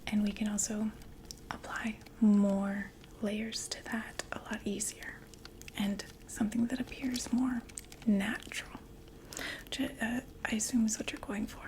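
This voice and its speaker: A young woman playing a female vampire door-to-door makeup saleswoman in an ASMR roleplay, whispering slow, gentle, chatty sales talk. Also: mid-range voice, little pitch movement, a little hiss or hum, close to an everyday voice, poor audio. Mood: soft, soothing.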